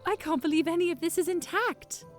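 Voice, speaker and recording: A woman playing an eager, curious young fantasy princess in an audio drama, expressive and slightly hesitant. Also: very high voice, fairly quick, soft background music. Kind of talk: fan audio drama acting.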